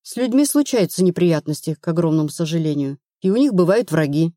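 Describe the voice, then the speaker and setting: A woman narrator playing a brisk, rational female investigator in an audiobook, blunt and assured. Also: lowish voice, lively intonation, dark tone, dry recording, clean studio sound, lightly acted. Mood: reassuring. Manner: matter-of-fact, assured.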